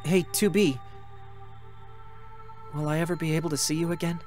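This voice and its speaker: A lonely young male android, played by a man, speaks in a slightly high, gentle voice. The wistful, reflective game drama reading has music underneath. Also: steady tone, normal speed, natural voice. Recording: music behind the voice.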